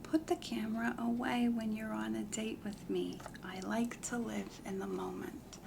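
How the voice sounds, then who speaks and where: A woman playing a self-assured, chatty matchmaker in a comedy ASMR roleplay, whispering softly in a deliberate, confiding, matter-of-fact way. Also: on the high side, level tone, background noise. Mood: patient, businesslike. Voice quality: breathy whisper with heavy creak. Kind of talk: soft-spoken whispered ASMR roleplay questionnaire.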